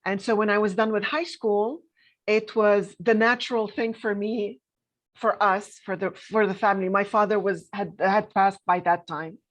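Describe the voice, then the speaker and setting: A woman in her fifties, born in Lebanon with Arabic as her first language, telling her life story as a podcast guest in a reflective way, with many pauses and self-corrections. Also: mid-range voice, steady tone. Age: 50s.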